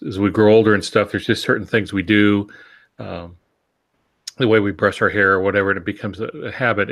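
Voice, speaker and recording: A man co-hosting a podcast, discussing things casually in reflective, halting phrases. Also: lowish voice, a bit bright. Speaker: podcast co-host.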